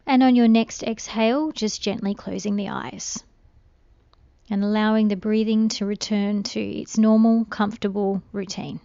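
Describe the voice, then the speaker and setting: Female meditation guide, gentle and soothing, with noticeable vocal fry, recorded very dry. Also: medium pitch, steady tone, no echo, muffled recording. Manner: gentle, soothing, unhurried instruction.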